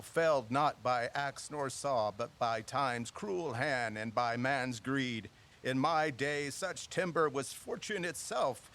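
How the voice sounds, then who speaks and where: A man's voice: mid-range voice, fairly bright tone, slight background noise. An actor playing a proud, theatrical older father who runs walking tours and speaks in grand, old-fashioned phrases in an audio drama.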